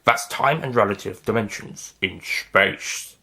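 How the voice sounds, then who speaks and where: A man's voice: fairly low pitch, unhurried, bright, forceful tone, full character voice. A man voicing an assured, commanding male time-travelling adventurer in audiobook dialogue. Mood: calm, assured.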